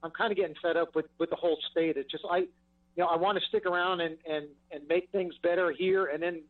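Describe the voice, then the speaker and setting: A man's voice: a little high, fairly even intonation, fast. An older man calling in to a talk show, sounding frustrated and stammering through restarts. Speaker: caller. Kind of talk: phone-in call to a talk show. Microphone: phone. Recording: tinny distant phone line.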